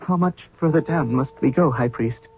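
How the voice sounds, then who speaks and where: A man acting in a 1970s radio drama as a young, newly crowned pharaoh, grave, halting and apprehensive. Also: mid-range voice, faint music behind, natural voice. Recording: dry phone-like vintage radio recording.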